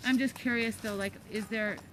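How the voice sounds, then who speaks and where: A woman's voice: a little high, fairly even intonation, normal speed, a little hiss or hum, rough recording. A middle-aged American woman in a casual discussion, hesitant and often interrupting herself.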